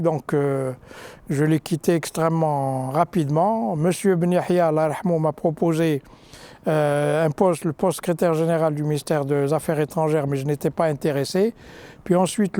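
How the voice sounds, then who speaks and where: An older man, a former minister, recounting his career in an interview, hesitant with frequent restarts and pauses. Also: on the high side, dark tone. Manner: halting, many fillers and restarts.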